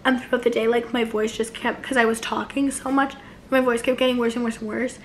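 Hoarse young woman rambling to camera. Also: on the high side, little pitch movement, brisk, a little hiss or hum. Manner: rambling, many likes and restarts, gestures a lot. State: hoarse, losing her voice. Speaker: vlogger. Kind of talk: casual chat to camera. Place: living room couch, indoors.

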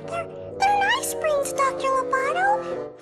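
Squeaky, extremely high-pitched timid minion. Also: female voice, animated, takes it slowly, full character voice. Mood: hurt, timid. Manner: pleading, squeaky. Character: timid, childlike, anxious lab minion, female. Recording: loud game music behind.

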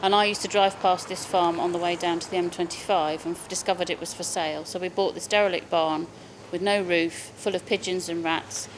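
A middle-aged woman who co-owns a farm shop, speaking in a brisk, matter-of-fact way in a promotional interview, recorded indoors in the shop. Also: lowish voice, steady tone, a little hiss or hum.

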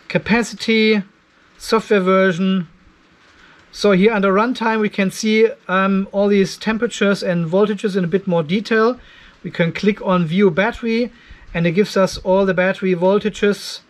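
High-pitched older man, casual tech vlogger. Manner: informal, thinking aloud. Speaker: host of an off-grid battery testing channel. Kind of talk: casual tech vlog, explaining readings while demonstrating. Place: cluttered indoor garage workshop.

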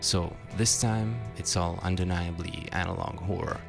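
A man's voice: deep voice, normal speed, vocal fry. A man narrating a scripted video essay with dry, wry asides. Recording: music behind the voice.

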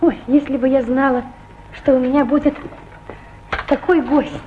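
An older woman with a fairly high voice, played by a stage actress, a lonely French teacher in Moscow. She rushes her words eagerly, fishes for compliments and pokes fun at herself. Her tone stays chatty and a little coquettish. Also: steady tone, mellow, a little hiss or hum, close to an everyday voice, rough recording. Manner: eager, chatty, self-deprecating. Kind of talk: stage play acting.

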